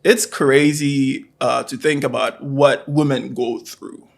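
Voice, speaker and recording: A man from Africa hosting an interview, asking questions in an easy, unhurried way. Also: medium pitch, fairly even intonation, creaky. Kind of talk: conversational interview.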